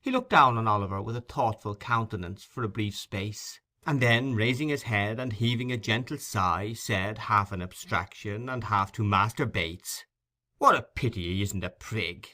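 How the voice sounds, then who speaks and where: A man reading a classic novel as an expressive audiobook narrator. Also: lowish voice, moderate pace, hardly any pauses. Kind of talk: expressive scripted literary narration.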